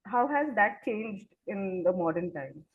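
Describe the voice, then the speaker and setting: A woman's voice: medium pitch, animated, a little slow. A woman hosting an interview in a conversational way, asking questions of her guest. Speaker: interview host. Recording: muffled, phone-like narrow band.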